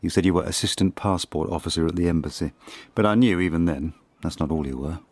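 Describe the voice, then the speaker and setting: An actor playing an ageing spy in a radio drama monologue, reflective and confessional, with measured, intimate, literary delivery. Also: male voice, low voice, darkish tone, dry recording. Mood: wistful, reflective. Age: older.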